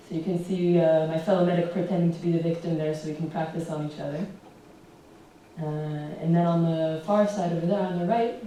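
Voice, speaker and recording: A young American woman giving a relaxed public talk with slides, often pausing with "um". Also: lowish voice. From United States. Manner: relaxed, fills pauses with ums. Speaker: guest speaker. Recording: roomy echo, distant, faint hiss.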